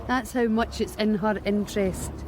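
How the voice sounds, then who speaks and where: An actress playing a blunt, streetwise young street worker with a Scottish accent in a radio drama, wry and matter-of-fact. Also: female voice, mid-range voice, fairly even intonation, moderate pace, background noise, close to an everyday voice. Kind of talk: BBC radio drama acting.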